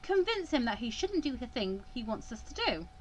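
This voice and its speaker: A woman's voice: fairly high pitch, very animated, normal speed, slight background noise. A woman voicing an earnest, emotional young female student in a visual novel, stammering and impassioned. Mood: indignant.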